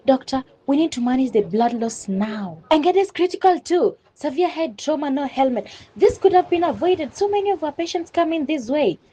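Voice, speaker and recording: A woman's voice: on the high side, mellow, slightly rough. A woman playing an urgent, dismayed hospital doctor in a radio drama, speaking earnestly.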